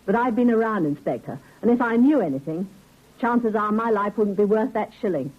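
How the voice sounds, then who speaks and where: An actress playing a hard-bitten, guarded nightclub singer, sardonic and world-weary, in a vintage radio drama. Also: female voice, mid-range voice, lively intonation, fairly quick, slightly rough. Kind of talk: 1950s radio drama acting. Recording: thin, narrow old radio recording.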